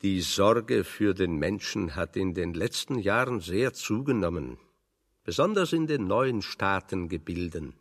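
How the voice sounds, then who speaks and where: Slow, drily ironic physicist in reverb. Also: male voice, deep voice, exaggerated voice. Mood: dry irony. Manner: deliberate, ornate, deadpan irony. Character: big, fat, pedantic physicist, drily ironic. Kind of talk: German radio drama dialogue.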